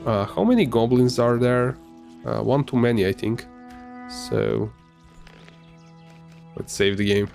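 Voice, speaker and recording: A man whose first language is Serbian, giving casual, halting commentary while playing a game, with many fillers and restarts. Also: mid-range voice, creaky, darkish tone, faint music behind. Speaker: gamer.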